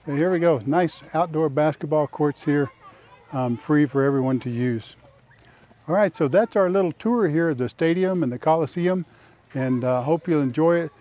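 Mellow-voiced man giving an outdoor tour. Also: a little high, moderate pace. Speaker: vlog host and tour guide. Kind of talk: casual walking tour narration to camera. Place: outdoors in a park. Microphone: lapel mic.

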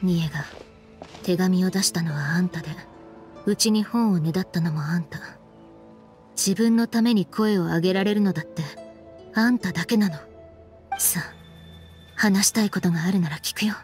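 A woman playing a cool, laid-back, sharp-witted young woman with a casual, blunt manner in an anime game. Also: on the low side, lightly acted. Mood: firm. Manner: casual, blunt, unhurried, pointed questioning. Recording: background music under the voice.

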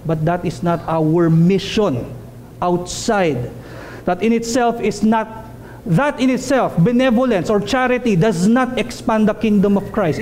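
A man in his thirties preaches from a pulpit in a small church hall. His voice sits high and mellow, and he delivers his points earnestly, repeating key phrases for weight. A faint hum of the room sits under the headset mic. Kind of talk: sermon.

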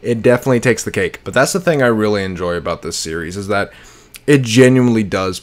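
A man's voice: on the low side, animated, quick pace. A young adult man giving casual video commentary to camera, speaking bluntly with heavy swearing into a close desk microphone indoors. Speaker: video reviewer of horror series. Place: bedroom, indoors. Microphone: close desk microphone, with headphones.

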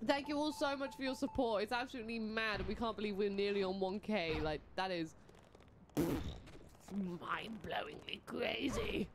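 A woman's voice: mid-range voice, moderate pace, noisy background. A young woman co-hosting a casual game stream from a small room at home, bubbly and eager to thank viewers. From United Kingdom. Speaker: streamer co-host.

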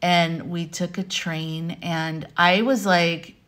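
An American woman in her thirties or forties from Arizona, chatting to camera at home in an animated, rambling, conversational way as she tells personal stories. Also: on the low side, slow pace. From Arizona, United States. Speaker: vlogger and life coach. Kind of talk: casual personal storytelling to camera. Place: home room with wooden beams, indoors.

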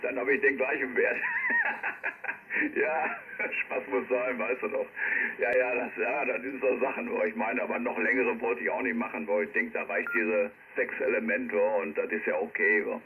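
A man's voice: mid-range voice, steady tone, moderate pace. A man with a Ruhr German accent chatting casually over amateur radio, jocular and colloquial. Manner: jocular, colloquial, frequent tag words. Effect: radio transmission. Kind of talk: casual ham radio chat. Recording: tinny, noisy single-sideband radio reception. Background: radio static.

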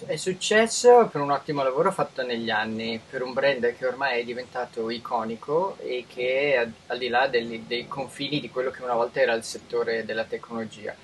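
A man's voice: mid-range voice, a little hiss or hum, poor audio. Italian man explaining tech in an interview. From Italy. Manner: measured, informative, with frequent restarts. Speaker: tech expert guest.

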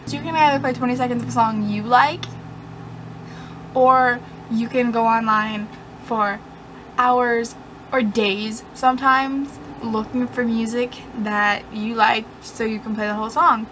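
A woman's voice: a little high, fairly even intonation, unhurried, a bit bright, slight background noise, rough recording. A young woman vlogging from her bedroom, rambling in a sarcastic, self-mocking way. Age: young adult. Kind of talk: casual chat to camera. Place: dim bedroom, indoors.

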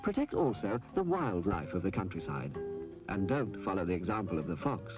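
Low, lively male information film narrator. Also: normal speed, poor audio. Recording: old film soundtrack with music. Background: music.

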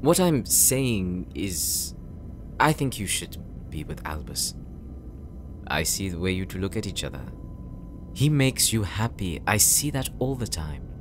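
An actor playing an earnest, insecure young knight in an audio roleplay, speaking gently and hesitantly, as if confiding in his sister. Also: lowish voice, big pitch swings, unhurried, background noise. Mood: tender, hesitant. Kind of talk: audio roleplay drama, intimate monologue.

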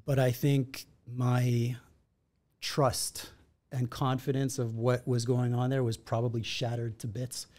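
A man in his forties from Israel, a podcast guest, telling his story in a reflective, measured way at a studio mic. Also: medium pitch, little pitch movement, a little mellow. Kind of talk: conversational storytelling. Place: podcast studio in a martial arts gym. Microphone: boom-arm studio mic with headphones.

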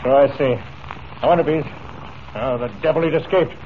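A man's voice: on the high side, fairly quick, close to an everyday voice. Male actor as commanding western ranch leader, dramatic and direct, faint noise on a narrow vintage broadcast. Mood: urgent, commanding. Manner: forthright, questioning, dramatic. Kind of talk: 1940s radio serial acting. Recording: tinny, noisy 1940s radio broadcast.

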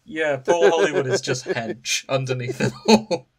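A man's voice: fairly high pitch, lively intonation, moderate pace. A man hosting a podcast, chatting casually with his co-hosts. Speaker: podcast host. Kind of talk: casual chat.

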